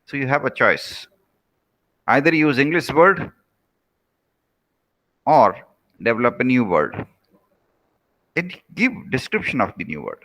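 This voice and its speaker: An earnest Indian man delivers a lecture in English, his first language being Rajasthani. His voice is bright and moves up and down a lot in pitch, and he urges his listeners by repeating his points. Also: sing-song, a little slow, long pauses, poor audio. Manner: earnest, repeats key phrases, pleading. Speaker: professor giving a lecture. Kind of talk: recorded lecture to students.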